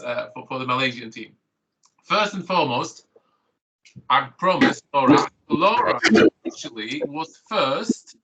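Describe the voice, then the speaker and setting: A man's voice: on the high side, noisy background. A middle-aged man whose first language is Polish, a doctor opening an online training course in a chatty manner full of fillers and restarts, speaking into a computer mic on a video call. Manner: chatty, filler-heavy, self-correcting.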